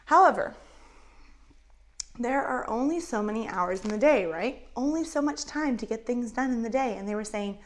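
A woman in her thirties with a lapel mic, telling a Bible story to children in an animated way with acted-out voices. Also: on the high side, moderate pace. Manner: animated, acts out voices of characters. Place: indoors in front of a map backdrop.

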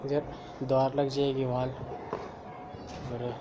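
A man's voice: medium pitch, little pitch movement, slow, lo-fi sound. A teenage boy gives a plain, matter-of-fact tour of an unfinished concrete house, filming on a phone held at arm's length. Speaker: vlogger. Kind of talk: casual house-tour narration. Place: bare unfinished concrete house, indoors and on the roof. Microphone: phone camera held at arm's length. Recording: echoey bare rooms, loud ambient noise.

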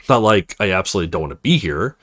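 A man's voice: low-pitched, big pitch swings, very fast, a bit bright, voice pushed down, full character voice. A man voicing an awkward, earnest young male recruit in a visual novel, hesitant and self-conscious. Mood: pensive.

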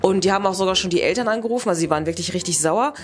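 A woman's voice: lowish voice, rapid-fire. A young adult woman casually presenting and telling stories to camera, rambling and correcting herself as she goes. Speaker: YouTube presenter. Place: indoor room. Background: background music.

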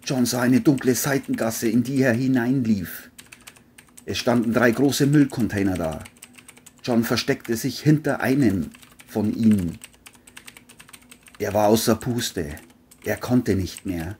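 A woman reading scripted narration for a crime audiobook in a husky voice. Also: a bass voice, unhurried, pauses often, darkish tone, a little hiss or hum.

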